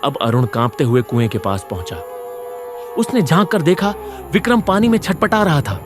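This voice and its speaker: A man's voice: on the high side, lively intonation, moderate pace, over music. A man reading a scripted horror story as a dramatic narrator.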